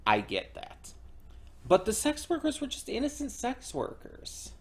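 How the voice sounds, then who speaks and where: A young man casually recapping a show episode as a reaction host, halting with many fillers and restarts. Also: extremely high pitch, huge pitch swings, slightly rough.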